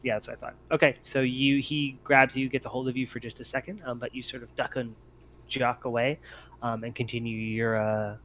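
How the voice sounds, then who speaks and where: A man running an online tabletop game as game master, narrating in a halting, informal way. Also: medium pitch, little pitch movement. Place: online voice call. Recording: muffled audio with clear background noise.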